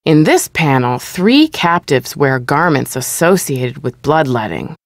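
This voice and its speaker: A woman with a notably low voice reads museum exhibit narration for a video game. Her delivery is formal, measured and explanatory, and the sound is very dry. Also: big pitch swings, no room sound.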